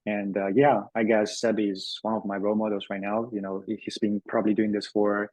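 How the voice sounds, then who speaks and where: A man's voice: lowish voice, little pitch movement, a little fast, darkish tone, no room sound, rough recording. A man hosting a tech webinar as a developer advocate, speaking casually with lots of fillers and restarts. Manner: hesitant, many fillers and restarts.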